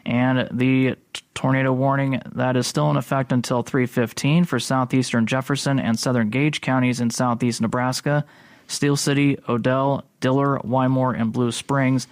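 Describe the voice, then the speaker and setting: A man's voice: medium pitch, little pitch movement, normal speed, no echo, clean studio sound. A male radio announcer reading out official weather warnings in a methodical, matter-of-fact way. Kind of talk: read-out list of official warnings.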